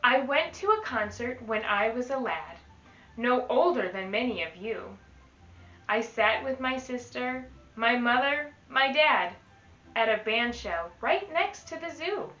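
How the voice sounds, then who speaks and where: A woman with a very bright, clear-cut delivery reads to young children at a very slow, careful pace. She is expressive and instructional, like a teacher at story time. The sound is echoey and a little dull, as if in a live room. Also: on the high side, soft background music. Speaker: teaching artist reading to children. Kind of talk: slow, enunciated children's read-aloud.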